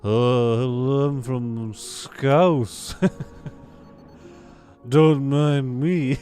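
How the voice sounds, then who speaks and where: A man voicing a cheery, overdone mock Liverpool man, in a high, drawn-out imitation accent, with faint music behind. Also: steady tone, dark tone. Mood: playful mock.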